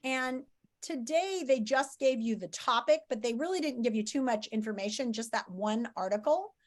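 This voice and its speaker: A woman's voice: on the high side, animated, normal speed. A woman teacher giving an informal, step-by-step lesson to students, thinking aloud as she explains.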